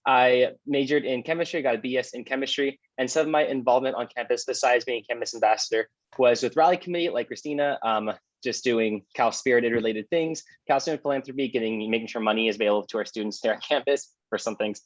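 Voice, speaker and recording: A man's voice: medium pitch, quick pace, few pauses, dry recording. A young man from Long Beach, California, speaking on a video call as a student panelist, chatty and rambling with fillers. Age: young adult. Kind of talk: casual Q and A. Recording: dry, dull lo-fi call audio.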